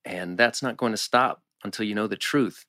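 A man's voice: on the low side, moderate pace, pushed and bright, no room sound, clean studio sound. A man playing an earnest, supportive, understated teenage boy in a TV teen drama table read. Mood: earnest, concerned.

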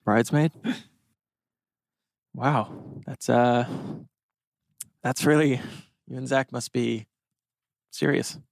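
A man's voice: mid-range voice, fairly even intonation, takes it slowly, vocal fry, pauses often, no room sound. A man playing an awkward, wisecracking teenage boy who stammers and rambles, in a teen drama table read. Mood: awkward, deflated.

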